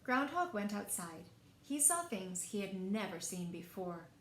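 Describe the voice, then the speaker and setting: A woman reading picture books aloud as a storyteller, in an expressive, deliberate way meant for young children. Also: medium pitch. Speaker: library storyteller. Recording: echoey room, faint background noise.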